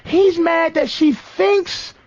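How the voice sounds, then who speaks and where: A man's voice: very high voice, steady tone, slow pace, highs cut off. A man hosting an unscripted comedy talk radio show, teasing, cutting in, repeating himself and swearing.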